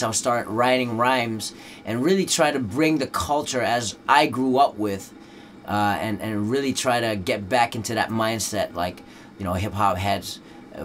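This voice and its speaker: A Dutch rapper speaking English as a second language, chatting casually in an interview with a laid-back, loose delivery full of fillers. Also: male voice, lowish voice, fairly bright tone, slight background noise.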